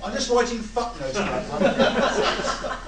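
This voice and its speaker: Man, host, lively swinging pitch, exasperated banter, very echoey noisy room. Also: slow, far from the mic, over a noisy crowd. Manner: exasperated, joking, mildly sweary. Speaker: panel host. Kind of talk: informal audience brainstorming session. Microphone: far from the mic.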